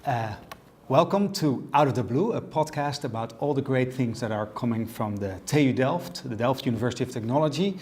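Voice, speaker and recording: A man's voice: mid-range voice, lively intonation, a little fast, a little mellow, slightly rough. Guy hosting a podcast, average voice, keeps repeating words, friendly casual questions. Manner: eager, stumbling, repeats words. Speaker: podcast host. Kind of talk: casual interview talk. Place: design exhibition venue.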